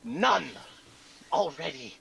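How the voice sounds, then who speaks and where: A man's voice: fairly high pitch, lively intonation, takes it slowly, creaky, pushed and bright, heavily acted. A man voicing a pompous, grandiose orator in a theatrical dramatic reading. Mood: pompous, grave. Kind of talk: mock-dramatic reading of an email.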